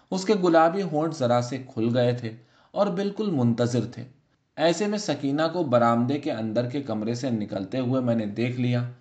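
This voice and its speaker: Male audiobook narrator for literary short fiction. Middle register, measured and expressive storytelling delivery. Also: medium pitch.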